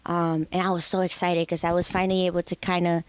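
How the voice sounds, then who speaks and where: Casting: young woman from the Dominican Republic, lowish voice, candid, reflective interview guest. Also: little pitch movement, quick pace, smooth, dry recording. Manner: candid, reflective, with frequent restarts. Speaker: guest musician. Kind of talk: interview conversation.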